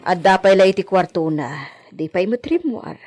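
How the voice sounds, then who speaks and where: A woman's voice: medium pitch, lively intonation, moderate pace, a little mellow, soft background music, lightly acted. A woman playing a long-suffering, deferential household maidservant in an Ilocano radio drama, earnest and pleading. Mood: hushed, practical. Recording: clear background noise and ambience.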